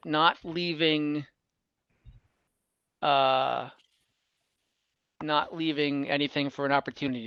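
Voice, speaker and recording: A game master with a bright, forward voice runs a tabletop fantasy fight at an unhurried pace. The rolls and numbers are tallied aloud as the action is narrated. The sound is somewhat dull and lo-fi, as from an online call. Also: mid-range voice, vocal fry, smooth, no echo. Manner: thinking aloud while tallying numbers. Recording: dull lo-fi mic with faint noise and clicks.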